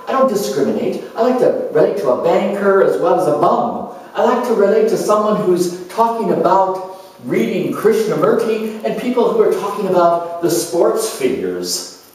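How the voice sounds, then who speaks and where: A man performing a theatrical monologue as a flighty, easily bored young student, excitable and gushing as he hops between subjects. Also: high voice. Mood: restless, gushing. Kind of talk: theatrical solo character monologue. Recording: very echoey room, distant mic.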